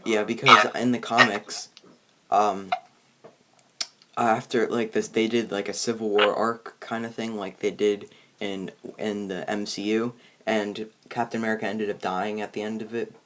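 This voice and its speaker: A man hosting a movie review in casual chat, halting and often restarting his sentences. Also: lowish voice, flat delivery, background noise. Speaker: host of a movie review show.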